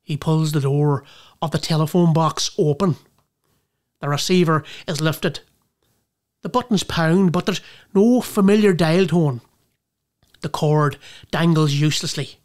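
A woman's voice: low voice, unhurried, long pauses, a little mellow. A woman with an Irish accent playing a boozy, nostalgic drinker in a colloquial, rambling theatrical monologue. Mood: tense. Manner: wistful, colloquial rambling with bursts of playful mimicry.

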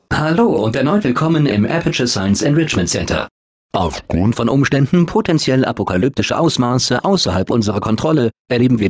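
Deadpan automated facility announcer. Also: male voice, medium pitch, breathy edge, dry recording, studio quality. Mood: blandly reassuring. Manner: formal, measured, deadpan official delivery. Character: polite, unflappable male automated facility announcer, blandly upbeat. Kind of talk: scripted game announcements, German dub.

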